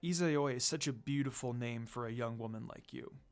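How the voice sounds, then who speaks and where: A man voicing a great dog demon lord, calm and kind, speaking softly in a story reading. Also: medium pitch, moderate pace, smooth, voice pushed down, natural voice. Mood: kind, admiring. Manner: kind, soft, smiling.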